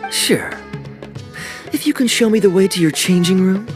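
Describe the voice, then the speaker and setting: An actor playing a charming, playful young adventurer in a video game cutscene, with a smooth, easy delivery. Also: male voice, high-pitched, expressive, moderate pace, soft background music. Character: suave, teasing young adult male adventurer. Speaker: game character.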